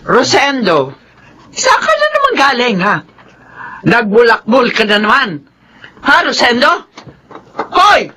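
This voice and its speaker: A woman's voice: a little high, wildly swooping pitch, slow, long pauses, bright, forceful tone, a little hiss or hum, heavily acted. An actress playing an exasperated, nagging middle-aged mother of grown sons in a Filipino radio drama. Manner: nagging, fretful.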